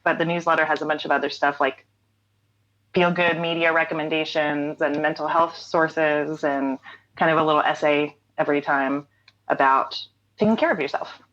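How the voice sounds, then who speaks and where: Low-voiced woman, relaxed and laughing. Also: moderate pace, highs cut off. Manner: relaxed, laughs often, many fillers. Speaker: interview guest, writer and songwriter. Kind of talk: casual interview chat.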